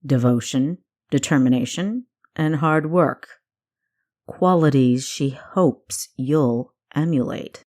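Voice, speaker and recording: A woman's voice: rumbling low, slow, darkish tone. A woman voicing an aloof, disdainful male dungeon keeper in an audiobook, formal, cold and dry.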